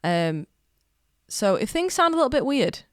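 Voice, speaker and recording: A woman's voice: a little high, sing-song, moderate pace, no echo. A woman musician hosting a live stream, chatting casually with viewers and greeting them by name, her nose a little stuffed up, on a studio dynamic mic. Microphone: studio dynamic microphone. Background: guitar playing.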